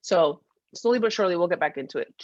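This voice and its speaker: A woman's voice: lowish voice, animated, quick pace, dry recording, lo-fi sound. A woman co-hosting a podcast in casual comedic chat, playful and teasing, repeating phrases for emphasis. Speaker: podcast co-host.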